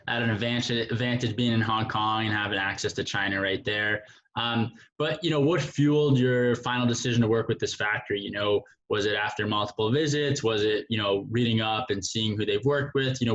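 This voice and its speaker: A man's voice: on the low side, fairly bright tone, lo-fi sound. A man hosting a casual interview in an informal, affirming manner. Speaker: interview host.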